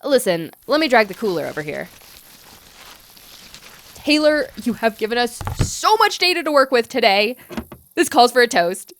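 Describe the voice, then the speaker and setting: A woman's voice: a little high, big pitch swings, moderate pace, long pauses, fairly bright tone, slight background noise. A woman playing an earnest, anxious scientist who gives urgent, commanding instructions in an audio drama. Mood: relieved. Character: earnest, urgent young scientist coaching a trapped friend.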